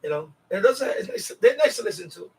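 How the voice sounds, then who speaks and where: A man with a high-pitched voice hosts a casual livestream. He rambles along informally and circles back over his own phrases. The sound is dry and close, with very little room in it. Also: huge pitch swings, brisk, gravelly, a little mellow, slightly rough, no room sound, poor audio. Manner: rambling, repeats himself. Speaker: livestream host. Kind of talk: casual live chat with viewers.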